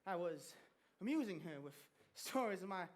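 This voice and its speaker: A woman's voice: lowish voice, animated, moderate pace, lightly acted. A woman playing a naive, lovestruck young bridegroom in a stage musical comedy, earnest and old-fashioned in manner. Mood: dreamy. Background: loud musical accompaniment.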